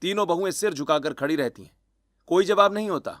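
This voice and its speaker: A man's voice: high voice, brisk, a bit bright. A man reading scripted, dramatic story narration.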